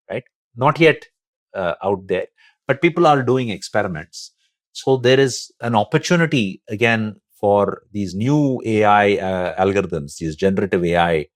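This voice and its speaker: A man's voice: on the low side, no echo, studio quality. A man, a tech founder explaining ideas step by step as a podcast guest, often checking in with "right?". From India. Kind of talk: explanatory interview answer.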